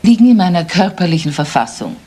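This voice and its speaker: Composed wife, low husky voice. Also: female voice, very animated, fast talker, dark tone. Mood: composed, grave. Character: composed, frail wife who condones her husband's affairs. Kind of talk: German crime radio play acting.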